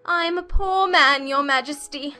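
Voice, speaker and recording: A woman's voice: high-pitched, unhurried, pushed and bright, faint music behind. A woman voicing a nervous, eccentric hatter in a storybook, pleading in a trembling voice.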